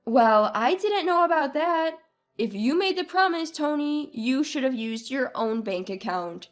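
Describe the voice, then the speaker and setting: Woman acting an indignant, high-voiced exasperated wife. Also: lively intonation, measured pace, faint music behind. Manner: emphatic, questioning, argumentative. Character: indignant, exasperated young wife standing up for herself. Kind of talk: acted dialogue in a narrated family drama story.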